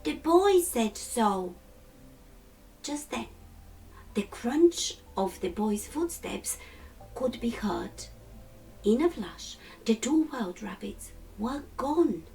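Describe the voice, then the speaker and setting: Woman, children's story narration, measured, creaky, slightly distant mic, hushed endings. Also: on the high side, lively intonation. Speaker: storytime reader.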